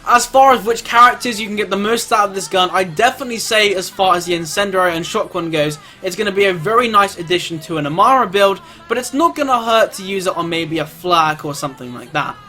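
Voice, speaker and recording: A man's voice: sits high, expressive, quick pace, few pauses, pushed and bright, faint music behind. A man giving an informal gameplay review commentary, chatting directly to his viewers. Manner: informal, addresses viewers as guys. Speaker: video game reviewer and host.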